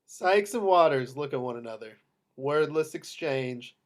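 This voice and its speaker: A man's voice: a little high, expressive, a little slow. A man narrating screenplay action in clipped descriptive phrases at an amateur table read. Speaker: narrator reading stage directions.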